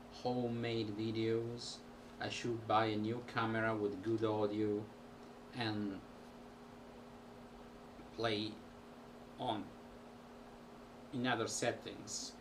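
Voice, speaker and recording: A man, a musician, talking casually to camera at home in a halting way with many pauses. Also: fairly low pitch, flat delivery. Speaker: musician vlogger. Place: small room at home. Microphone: built-in camera mic. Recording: distant home camera audio with background noise.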